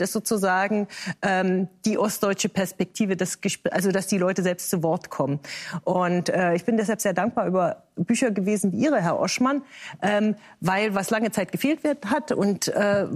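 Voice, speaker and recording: A woman from East Germany, a guest on a talk show panel, arguing her point firmly with frequent hesitations. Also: mid-range voice. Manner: measured, many hesitations, firm.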